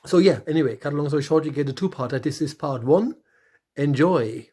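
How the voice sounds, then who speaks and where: A man's voice: on the high side, a little fast, dark tone. A middle-aged man with a German accent, hosting a casual interview chat and talking in a hesitant way with many ums and restarts. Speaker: podcast host.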